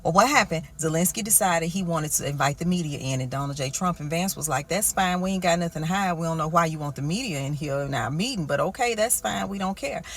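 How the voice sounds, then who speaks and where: An American woman giving a casual, animated recap in slangy talk, acting out quotes colloquially as she goes. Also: fairly low pitch, fast talker, hardly any pauses.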